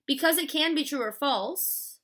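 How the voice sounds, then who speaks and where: A woman's voice: high-pitched, a little slow, a bit bright. A woman in her thirties explaining a philosophy concept in a casual, chatty classroom way, with "like" and "stuff" as fillers. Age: 30s. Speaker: philosophy lecturer.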